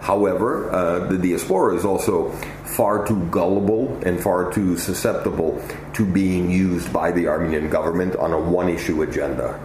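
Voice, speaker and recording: A man giving measured, articulate and emphatic answers as an interview guest. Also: sits low. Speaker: interview guest, political analyst. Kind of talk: answering interview questions at length. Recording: echoey room with loud ambient noise.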